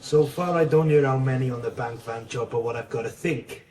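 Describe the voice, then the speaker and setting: An actor playing a suspicious, controlled criminal backer in a radio drama, probing in a measured, threatening way. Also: male voice, medium pitch, a little mellow, far from the mic, a little hiss or hum, lightly acted. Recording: echoey room, off-mic radio scene.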